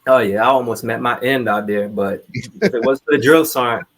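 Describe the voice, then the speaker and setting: A man's voice: mid-range voice, fairly quick. A man from Trinidad, telling personal stories candidly and informally as a podcast guest. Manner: candid, informal, swears casually. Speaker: podcast guest, military veteran. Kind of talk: casual conversational storytelling.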